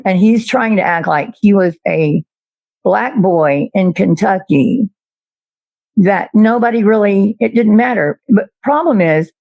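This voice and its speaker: Slow gravelly voice calling out a liar. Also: medium pitch, a little mellow, dry recording. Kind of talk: argumentative commentary.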